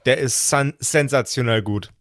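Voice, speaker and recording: A man in a casual podcast chat, talking hesitantly with a wry touch and drawn-out pauses. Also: mid-range voice, fairly bright tone, no room sound, studio quality. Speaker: podcast regular.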